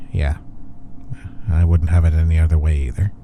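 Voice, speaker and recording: A man's voice: extremely low pitch, noisy background, heavily acted. A young man acting as a smug, teasing office rival in an intimate roleplay audio. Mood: relaxed, amused. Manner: teasing, playful banter. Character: teasing, smug young male office rival softening toward his coworker.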